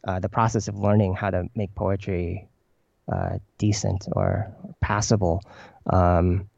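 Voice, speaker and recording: Hesitant low-voiced man, gravelly and mellow. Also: little pitch movement, a little slow, no room sound, dull audio. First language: Chinese. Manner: hesitant, many fillers and restarts. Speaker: poet guest. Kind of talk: reflective interview answers.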